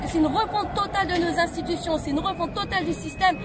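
A woman in her forties from France, speaking in an impassioned, indignant street interview with rapid rhetorical questions, recorded outdoors on a city street with a close handheld camera. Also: very high voice. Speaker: protester. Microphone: handheld camera close to face. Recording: loud street crowd and traffic noise. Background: crowd chatter, traffic.

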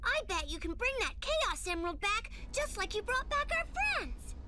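A woman's voice: very high-pitched, big pitch swings, moderate pace, heavy background noise. A woman voicing an earnest, clever young boy fox sidekick in a video game, explaining things eagerly. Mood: eager, encouraging. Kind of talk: animated video game acting.

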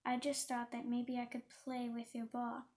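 A woman's voice: on the high side, completely flat delivery, normal speed, darkish tone, natural voice. A woman voicing a small, playful female bluebird in a storybook, polite, innocent and apologetic.

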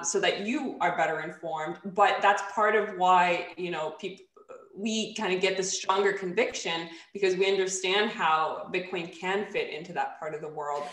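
A woman's voice: on the low side, a bit bright, roomy sound. A woman in her thirties, born in Poland, talking as a podcast guest on a video call, thoughtful and full of fillers and restarts. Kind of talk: casual video-call interview. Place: home room, plain light wall. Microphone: desk microphone on a video call.

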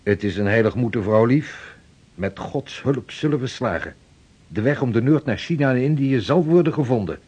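An actor playing a driven, devout sixteenth-century ship's captain in a radio drama, speaking formally and with command. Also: male voice, mid-range voice, lively intonation, normal speed, darkish tone, husky. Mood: weighty, evasive.

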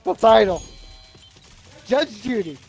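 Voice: mid-range voice, very animated, slow, gravelly, a little mellow, slightly rough, faint music behind. A person reading a comedy script aloud in a casual group setting. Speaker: script reader.

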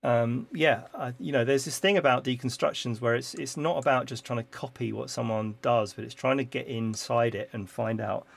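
A man's voice: medium pitch, steady tone, normal speed, vocal fry, few pauses. A middle-aged man hosting a live stream in his home studio, casually explaining how he builds a track, with frequent ums and restarts. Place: home music studio with keyboard and computer. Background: faint synth music from the session.

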